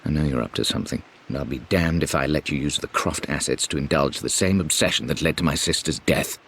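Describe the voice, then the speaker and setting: An actor playing a stern middle-aged British uncle, reading out a formal letter in a cold, imperious manner. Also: male voice, rumbling low, fast, a bit bright, a little hiss or hum. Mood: threatening. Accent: British English. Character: stern, threatening upper-class uncle. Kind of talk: letter read in character.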